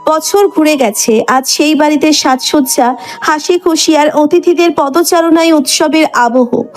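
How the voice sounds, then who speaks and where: A woman's voice: high voice, a little slow. A woman narrator reading a scripted dramatic story. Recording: background music under the voice.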